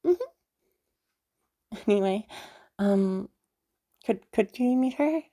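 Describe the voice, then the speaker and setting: A woman plays a sweet young woman in an audio roleplay, nervous and eager to please. She stammers and hesitates in a giggly, bubbly way. Her tone is mellow, with some creak at the edges. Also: medium pitch, lively intonation, slow pace. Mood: hopeful, hesitant. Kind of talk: roleplay audio drama, one side of a phone chat.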